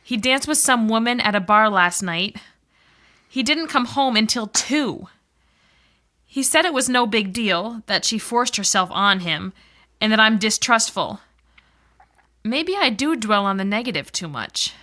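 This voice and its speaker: A woman's voice: fairly high pitch, expressive, measured pace, pushed and bright. A woman playing a worried, downcast wife in soap-opera dialogue for English learners, enunciating carefully. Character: worried, downcast wife fearing her husband's affair.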